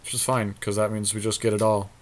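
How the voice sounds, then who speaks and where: A man co-hosting casual gameplay commentary with a friend, thinking his moves out loud in slangy speech. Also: fairly low pitch, level tone, fast talker, slight background noise. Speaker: podcast co-host playing an online card game.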